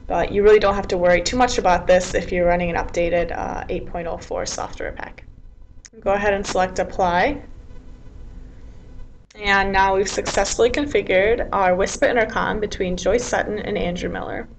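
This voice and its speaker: A woman presenting a software tutorial in an informal, explanatory, step-by-step way. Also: mid-range voice, fairly even intonation, moderate pace, vocal fry, slight background noise, rough recording. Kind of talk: software tutorial narration, semi-scripted.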